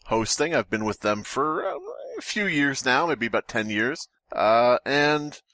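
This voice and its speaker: A man with a bright, forward voice and average pitch chats like a podcast host among friends. He rambles and jokes wryly in a very dry, close sound. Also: animated, a little slow, no echo. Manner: rambling, joking, wry. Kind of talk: casual comic podcast chat.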